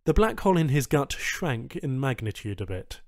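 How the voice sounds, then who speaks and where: A male narrator reads science fiction prose in a medium-pitched voice. It is scripted audiobook storytelling, and the recording is very dry, with no room sound around the voice. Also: sing-song, normal speed, no echo.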